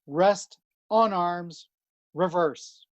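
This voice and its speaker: Very slow-paced woman calling drill commands. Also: lowish voice, dry recording, lo-fi sound. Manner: clipped commands with long pauses. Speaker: drill caller.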